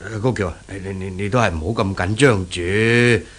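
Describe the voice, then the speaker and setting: A man's voice: lowish voice, brisk, fairly bright tone, breathy edge. A man acting in a 1970s Cantonese radio drama as an anxious middle-aged shopkeeper father with a Hong Kong accent, stammering and repeating his words. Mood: flustered. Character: anxious older shopkeeper father, flustered and kindly.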